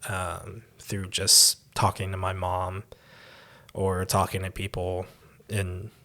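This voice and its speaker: A young man who grew up abroad, answering interview questions as a church intern, with many pauses and restarts. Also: low voice, completely flat delivery, sharp tone. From raised in Taiwan, born in the United States. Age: young adult. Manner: hesitant, many ums and restarts.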